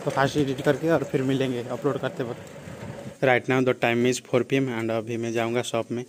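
A young man talks through his day in a relaxed, rambling way into a handheld phone. His delivery stays flat and even. Faint background noise sits behind the voice. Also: medium pitch, a little slow. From Odisha, India. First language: Odia. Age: young adult. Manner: relaxed, rambling, many fillers. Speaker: vlogger. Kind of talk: casual daily vlog narration. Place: small village shop and outdoors. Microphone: phone camera at arm's length.

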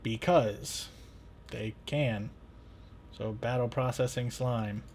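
A young adult man hosting a casual software tutorial, thinking aloud in an informal, playful, rambling way. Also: mid-range voice, fairly even intonation, slow pace. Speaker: tutorial host. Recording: clear background noise.

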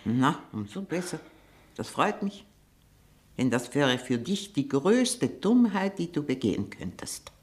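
An actress playing a scheming, domineering old aunt in a radio play, scolding and biting, with insistent questions. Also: female voice, sits low, very animated, measured pace, darkish tone. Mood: mocking. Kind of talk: German radio play acting.

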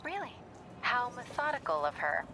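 A young American woman playing a hero's sharp, concerned investigating ally in a video game, inquisitive and a little dry. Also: fairly high pitch, big pitch swings, moderate pace, noisy background. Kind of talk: video game dialogue acting.

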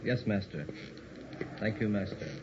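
A man's voice: lowish voice, close to an everyday voice. Man doing a timid, servile castle servant. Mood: fearful, subservient. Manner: timid, halting, repeats orders. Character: frightened, servile hunched little male servant. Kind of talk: old-time radio horror drama acting. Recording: muffled, hissy old radio recording.

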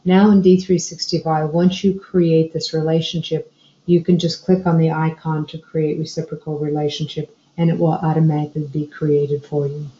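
A woman explaining software step by step as a trainer narrating a screencast tutorial. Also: low voice, flat delivery, normal speed. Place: indoor room, screen recording voice-over. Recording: dull, roomy sound.

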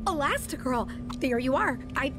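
An actress playing a starstruck, awkward young superheroine, flustered and stammering, in an animated game. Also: female voice, sits high, unhurried, music in the background. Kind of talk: animated film game voice acting.

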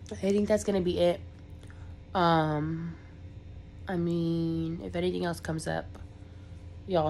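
A woman's voice: fairly low pitch, darkish tone, slight background noise. A young woman, congested from a cold, rambling casually in a vlog with lots of fillers. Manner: rambling, filler-heavy, chatty. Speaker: vlogger.

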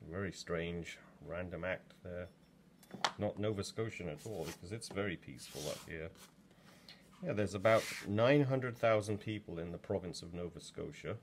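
A man's voice: sits low, moderate pace, noisy background. A man in his sixties from England, chatting in a rambling way with plenty of ums while working in a pottery studio, recorded from a camera just over his shoulder. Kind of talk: casual demo talk while working.

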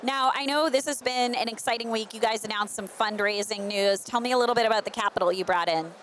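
A woman's voice: a little high, fast, a bit bright. A woman in her thirties interviewing on camera with a handheld microphone, in a conversational presenter's manner. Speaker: editor and interviewer. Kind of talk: on-camera trade show interview. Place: busy trade show booth indoors. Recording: faint convention hall background noise.

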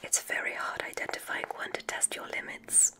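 A woman playing a gentle, reassuring witch examiner in a whispered ASMR medical roleplay, giving careful, soothing instructions in a breathy voice. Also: normal speed, lightly acted.

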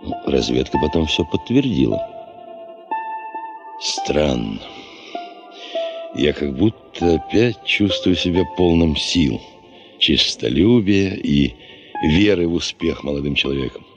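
An actor playing a brooding, weary but driven veteran gold geologist in a radio play, gravelly and slow, pausing as he reflects. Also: very deep voice, animated, music in the background, full character voice. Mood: brooding, reminiscing. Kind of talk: 1970s Soviet radio play acting. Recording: dull 1975 radio play recording.

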